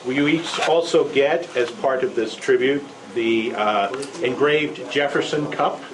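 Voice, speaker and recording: A man's voice: medium pitch, measured pace. A man informally presenting an award to winners at a club gathering. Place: restaurant luncheon room. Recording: noisy restaurant room.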